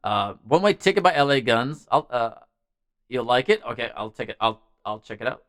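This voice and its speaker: Man, clear smooth voice, dry close sound, rambling casual livestream host. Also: mid-range voice, normal speed, a bit bright, no room sound. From Norway. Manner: rambling, reading and answering viewers' messages. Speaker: music show host.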